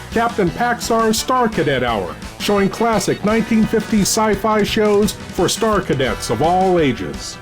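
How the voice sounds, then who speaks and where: A man giving a theatrical promotional announcement for a show in an emphatic, showman-like manner. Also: high voice.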